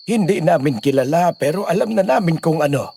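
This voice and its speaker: A man's voice: a little high, lively intonation, brisk, vocal fry, dark tone, slight background noise. A man playing a stern, grave village father who gives orders and warnings in a Filipino horror audio drama. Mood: grave, commanding. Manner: commanding, grave.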